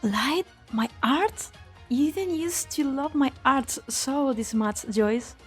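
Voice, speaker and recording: A woman voicing a spirited, quick-thinking young researcher in an amateur fan dub, with an expressive, questioning delivery. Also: sits high, breathy edge, soft background music, heavily acted. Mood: strained, wry.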